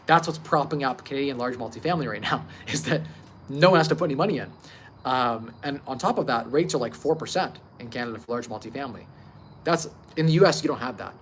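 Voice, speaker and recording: Man, age 30, casual livestream host, emphatic informal delivery, average pitch, faint ambient noise. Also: fast talker. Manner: confident, informal, mild swearing, emphatic. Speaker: livestream host, real estate investor. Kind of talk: casual livestream monologue on investing. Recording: clear steady background ambient noise.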